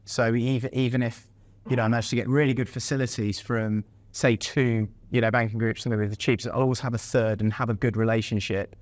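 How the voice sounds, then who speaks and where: A man's voice: medium pitch, little pitch movement, a little fast, dry recording. A man interviewing his guest in a conversational, hesitant way. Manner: conversational, hesitant, with many fillers. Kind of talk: casual interview talk.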